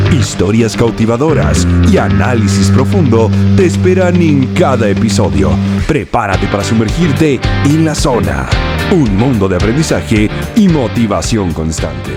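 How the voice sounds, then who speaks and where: A man reading a scripted podcast intro voice-over with a smooth, lively announcing delivery. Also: medium pitch. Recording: voice-over over loud guitar music.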